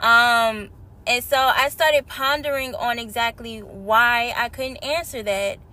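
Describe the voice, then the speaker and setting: A woman hosting her podcast in casual, slangy chat, a little hoarse from a cold. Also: on the high side, slow pace. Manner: animated, slangy and chatty. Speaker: podcast host. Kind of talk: casual spiritual podcast talk.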